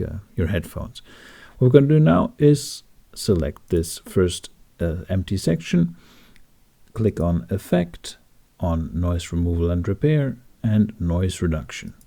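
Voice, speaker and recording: A man talking through a software tutorial in a casual, informal way with wry asides. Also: deep voice, creaky. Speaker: tutorial host.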